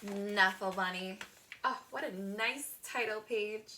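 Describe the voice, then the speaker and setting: A woman reading a picture book aloud to young children in an expressive teacher's manner, drawing words out. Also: mid-range voice, slow pace, background noise. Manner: expressive, asks the listener questions, gasps, draws words out.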